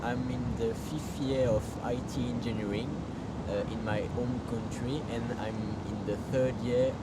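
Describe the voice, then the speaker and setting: A man's voice: mid-range voice, fairly even intonation, measured pace. Hesitant young man, noisy room. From France. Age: 20s. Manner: hesitant, many "uh"s. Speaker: exchange student. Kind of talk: casual personal introduction. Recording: loud background noise.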